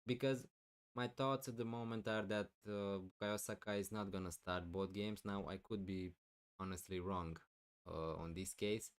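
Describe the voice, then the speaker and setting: Man, live streamer, low voice, smooth and clear, loud with lots of fillers, voice wearing thin. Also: fairly even intonation, moderate pace. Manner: loud, thinking aloud with many fillers. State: losing his voice, clearing throat. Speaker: fantasy football streamer. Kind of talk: live stream answering chat questions.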